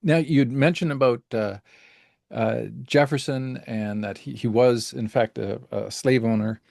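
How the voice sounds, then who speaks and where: A man's voice: medium pitch, fairly even intonation, unhurried, creaky, a little mellow, no room sound, studio quality. A man from Canada hosting a podcast interview, asking questions in a friendly, hesitant way with many fillers. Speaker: podcast host.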